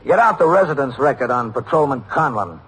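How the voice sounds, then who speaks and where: An actor playing a brisk, probing police captain in an old-time radio detective drama, questioning in an official manner. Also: male voice, medium pitch, lively intonation, a bit bright, slightly rough. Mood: stern, pressing. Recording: noisy vintage radio drama recording.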